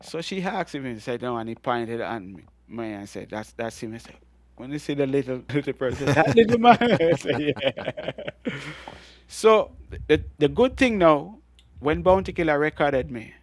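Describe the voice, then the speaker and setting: A middle-aged Jamaican man with a deep voice, telling animated stories as a guest in an interview. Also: normal speed. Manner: animated storytelling with frequent laughs and restarts. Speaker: guest reggae artist. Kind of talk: storytelling interview.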